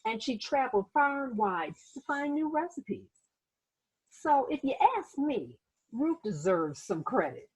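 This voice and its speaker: A woman reading a children's story aloud like a teacher, expressive and dramatic, with asides to her listeners. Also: fairly high pitch, unhurried, breathy edge, rough recording. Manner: animated, dramatic, acts out sound words and exclamations.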